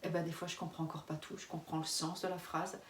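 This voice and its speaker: French woman in her forties, candid rambling vlog chat, low-ish voice, echoey room, set back from the mic, faint background hum. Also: level tone, fast. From France. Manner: frank, rambling, many restarts, laughs often. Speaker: expat family vlogger. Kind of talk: casual face-to-camera chat. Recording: roomy, distant mic, faint ambience.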